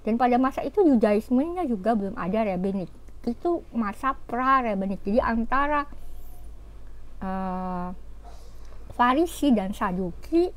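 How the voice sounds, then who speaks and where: Lively woman explaining scripture. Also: medium pitch, rough recording. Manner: explanatory, animated, with fillers and rhetorical questions. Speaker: religious teacher. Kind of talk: informal religious talk.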